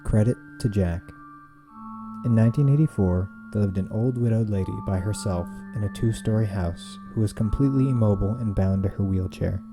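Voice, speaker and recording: A man reading a scripted horror story in a measured, suspenseful way, with dramatic pauses. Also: lowish voice. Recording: music behind the voice. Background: eerie background music.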